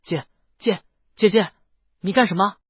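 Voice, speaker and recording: A man voicing a shy, well-behaved young male dragon in an audio story, soft, hesitant and sincere, with a husky voice. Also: high-pitched, unhurried, dry recording, full character voice. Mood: flustered. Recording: dull, lo-fi dry voice track.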